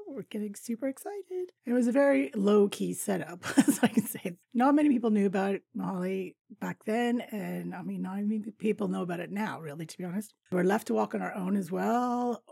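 A woman in her fifties with a raspy voice, hosting a podcast and chatting casually through a travel story, laughing often. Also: medium pitch, mellow, dry recording, studio quality. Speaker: podcast host.

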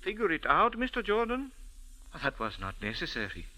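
An actor playing a mysterious, proud sand diviner who speaks in formal, stilted English, in 1940s radio acting style. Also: male voice, sits high, huge pitch swings, moderate pace, sharp tone, heavily acted, rough recording. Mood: solemn.

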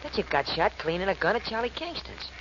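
An actor playing a dim, eager, loyal sidekick in a 1940s radio drama, with a naive, slangy way of talking, on a muffled old recording. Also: male voice, high voice, very animated, fairly bright tone, slight background noise. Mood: puzzled, eager. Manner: naive, slangy, slow on the uptake.

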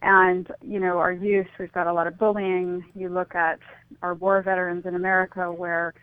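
A woman's voice: on the low side, little pitch movement, moderate pace, dry recording. Middle-aged woman on a tinny phone line. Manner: reflective, hesitant restarts, soft breathy exclamations. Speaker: guest, suicide prevention advocate. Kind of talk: phone-in radio interview.

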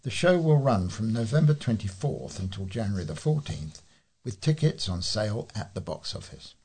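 A man reads local news as a volunteer. His voice sits on the low side and has a rough, husky grain as he works through the written stories. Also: sing-song, normal speed, a little mellow. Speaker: volunteer newsreader. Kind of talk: reading local news aloud.

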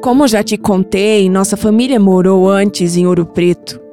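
An actress playing a wise, mystical old Brazilian grandmother in an audio drama, solemn, affectionate and measured. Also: mid-range voice, steady tone, close to an everyday voice. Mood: solemn, grave. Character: tender, wise, mystical old grandmother revealing family secrets. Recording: music bed under the voice.

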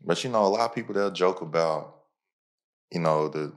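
Low-pitched male podcast host, casual and motivational. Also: moderate pace, a little hiss or hum. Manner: motivational, talks in repeated punchy phrases. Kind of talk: casual conversational podcast talk.

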